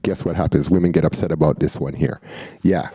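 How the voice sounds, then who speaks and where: A man's voice: a bass voice, fairly quick, mellow, husky, no room sound. A man hosting a podcast, reacting to video clips in casual, slangy commentary and hyping up the speakers. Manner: hyped, interjecting, slangy.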